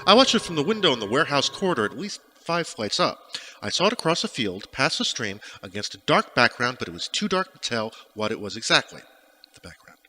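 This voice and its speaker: A man's voice: mid-range voice, big pitch swings, a little fast, pushed and bright, rough recording. A man reading his own scripted first-person fiction as an audiobook narrator.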